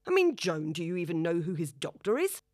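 A furious, domineering father barks demands and heaps scorn, as a woman reads him aloud. The voice is low-set and loud, close and dry with no room sound. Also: very animated, fairly quick, dry recording. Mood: angry. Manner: shouting, bullying, demanding. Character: irate domineering middle-aged father.

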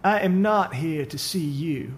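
A man voicing a sinister small, pale stranger who speaks slowly and with quiet menace. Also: on the high side, lively intonation, a little hiss or hum, pitched above the performer's own voice. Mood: cold. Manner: slow, smooth, quietly menacing.

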